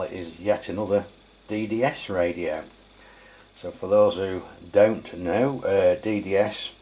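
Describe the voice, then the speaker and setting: Low-pitched man reviewing gear. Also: darkish tone, a little hiss or hum. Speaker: radio review channel host. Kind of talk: informal product review voice-over.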